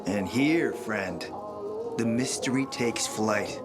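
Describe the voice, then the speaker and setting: An actor playing a suave, scheming middle-aged spymaster in a video game, speaking in a theatrical, smooth, insinuating way. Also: male voice, fairly low pitch, slow. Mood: conspiratorial. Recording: loud music behind the voice.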